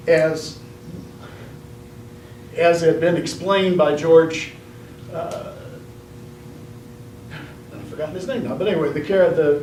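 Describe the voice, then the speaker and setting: Guy doing a deadpan tall tale speech, kinda high voice, mic way off in an echoey room. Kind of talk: comic storytelling speech to a live audience. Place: indoor room at a speech contest. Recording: roomy, distant mic, faint noise.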